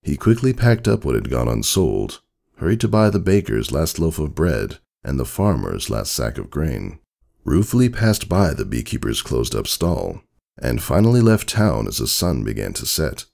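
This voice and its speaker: Male narrator for a dramatic fable: extremely low, expressive pitch movement, measured and solemn delivery. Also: vocal fry.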